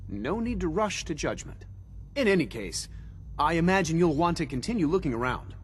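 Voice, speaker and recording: A man's voice: on the high side, big pitch swings, normal speed, slight background noise. An actor playing an enthusiastic, courteous male scientist who explains things articulately in a video game. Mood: courteous, reassuring. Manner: polite, eager, articulate explaining. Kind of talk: video game dialogue acting.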